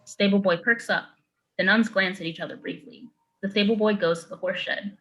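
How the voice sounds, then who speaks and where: A woman's voice: mid-range voice, fairly even intonation, brisk, a bit bright, highs cut off. A woman narrator reading screenplay stage directions in clipped, matter-of-fact phrases.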